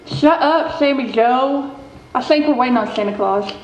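A woman's voice: high-pitched, normal speed, close to an everyday voice. Woman playing a blunt country girlfriend, echoey. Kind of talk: staged comic radio-show reading. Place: theater stage before an audience. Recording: muffled echoey hall, faint noise.

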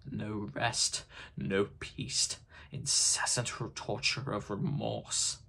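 A man's voice: on the low side, fairly even intonation, creaky, bright, forceful tone, slightly rough, full character voice. A man voicing the mournful, remorseful ghost of a dead businessman in a solemn, declamatory storybook reading. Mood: weary, sorrowful. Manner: declamatory, solemn, drawn-out wailing.

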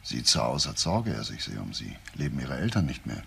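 An actor playing a dogged, probing police detective in a crime radio play, asking terse and insistent questions. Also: male voice, rumbling low, big pitch swings, a little fast, gravelly, bright, forceful tone, slight background noise, heavily acted. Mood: probing, official. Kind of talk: German crime radio drama dialogue.

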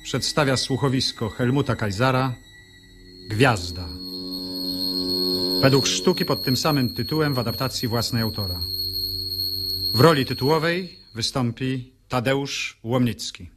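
A man's voice: medium pitch, a little slow, long pauses. A man reading a scripted announcement for a radio theatre broadcast in a 1970s recording. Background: prominent music.

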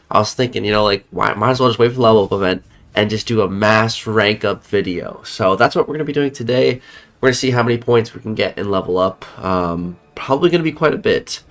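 A man's voice: lowish voice, fairly bright tone. Chatty young man with a sick voice. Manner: chatty, rambling, self-correcting. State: sick, voice a bit off. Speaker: mobile game content creator. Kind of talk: casual gameplay commentary. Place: home room, indoors.

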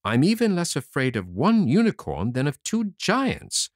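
A man's voice: a little high, wildly swooping pitch, unhurried, dry recording, clean studio sound, pitched above the performer's own voice. A man voicing a boastful, plucky little male tailor in a storybook reading, cocky and bragging.

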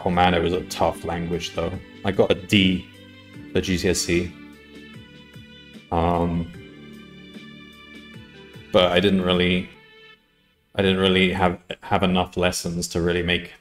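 Thirties male streamer, low voice, loose self-correcting chat, soft music under. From United Kingdom. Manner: rambling, self-correcting, laughs often. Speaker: coding livestreamer. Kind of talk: casual live-stream chat while coding. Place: home room at a desk.

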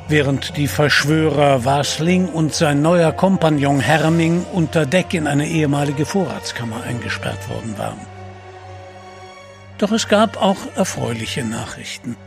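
A man narrating an adventure audio drama in a measured, suspenseful storyteller's manner. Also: a little high, vocal fry, music in the background.

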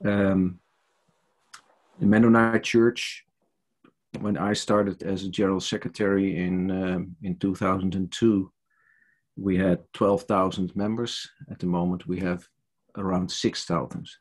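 Older Dutch-accented man, hesitant church-leader guest on a headset video call, husky and unhurried. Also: low voice, little pitch movement. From Netherlands. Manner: hesitant, many fillers and restarts. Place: home study with bookshelves.

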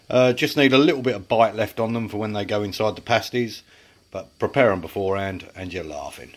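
Chatty man in a kitchen. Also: lowish voice. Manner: chatty, self-mocking, explains each step. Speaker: home cook. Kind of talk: casual cooking narration. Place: small home kitchen.

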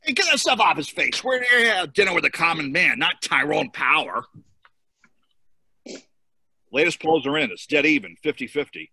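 Blunt cartoon political handler played by a man, very sharp, husky, animated. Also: fairly high pitch, moderate pace, long pauses, dry recording, studio quality, full character voice. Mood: brisk, urgent. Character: brisk, blunt male political campaign advisor. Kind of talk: amateur cartoon table read. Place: home room, on a video call.

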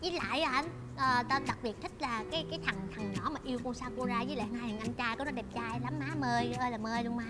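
A woman in her twenties with a southern Vietnamese accent, telling stories in casual, excitable and expressive chat. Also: on the high side, quick pace, few pauses, over loud music. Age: 20s. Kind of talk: casual animated chat, reminiscing. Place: indoor talk show set styled as an old shop.

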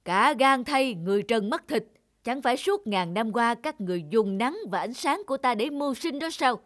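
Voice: mid-range voice, lively intonation, moderate pace, a bit bright, no room sound, clean studio sound, close to an everyday voice. An actor playing a stern, imperious old male sun god in a cartoon fairy tale. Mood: angry.

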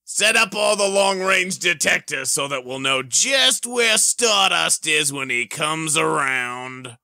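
A gloating comic-book villain, hammed up by a man, declaims plans for war with theatrical bombast. The voice is sharp and forceful, raised in pitch, with big swings. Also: animated, takes it slowly, no room sound, clean studio sound, exaggerated voice. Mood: scheming. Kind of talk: hammy dramatic reading of a comic.

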